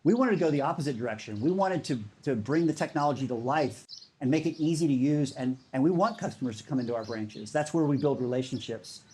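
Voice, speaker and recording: A man's voice: mid-range voice, darkish tone. A man giving a conference talk in a casual, enthusiastic way, with many fillers. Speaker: speaker.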